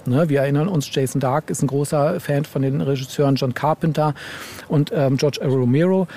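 A man answering as a guest author in a podcast interview, speaking conversationally and haltingly, often tagging phrases with a short questioning word. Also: on the high side, mellow. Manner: halting, ends phrases with tag "ne?".

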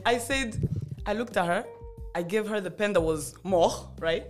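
A young woman from Rwanda, a podcast guest, telling a story in animated casual chat and laughing as she acts out quotes. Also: mid-range voice, moderate pace, soft background music.

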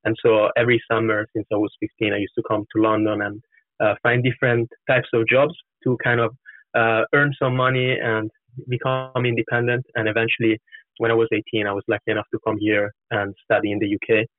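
A man's voice: fairly low pitch, level tone, brisk. A man in his twenties from Italy with an Italian accent, answering interview questions as a startup founder in an earnest, explanatory way. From Florence, Italy. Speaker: startup co-founder, podcast guest. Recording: roomy, narrow muffled call-like sound.

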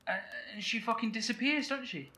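Man survivor, bright voice, echoey room, faint ambience, animated storytelling. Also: extremely high pitch, fairly quick. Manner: animated, vivid storytelling, swearing. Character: man survivor describing a strange void. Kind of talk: audio drama acting. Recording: roomy echo, faint ambience.

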